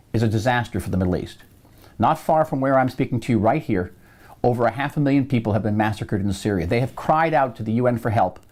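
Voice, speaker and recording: A man speaking in a mellow, low-key tone while delivering a forceful political argument. He presses each point insistently and builds toward a call to action, speaking straight to the viewer. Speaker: political commentator. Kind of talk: impassioned political address to camera.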